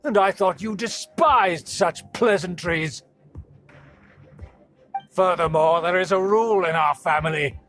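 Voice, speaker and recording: Pompous middle-aged noble uncle, indignant game villain. Slow, high, forceful delivery. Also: male voice, faint music behind. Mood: scornful. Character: haughty, outraged aristocratic uncle, scheming clan villain. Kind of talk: video game voice acting.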